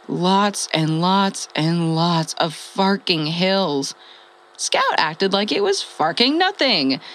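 A woman's voice: mid-range voice, a bit bright. An actress playing a wry, rambling woman who survived the apocalypse and reports by radio in a comedic audio drama. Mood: weary, wry. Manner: rambling, hesitant, self-amused. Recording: clearly noisy ham radio transmission.

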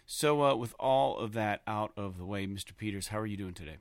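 A man's voice: on the low side, fairly quick, no room sound. Laid-back male podcast host, dry close sound. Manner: reads show plugs in a relaxed, offhand way, swears casually. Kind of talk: casual podcast chat.

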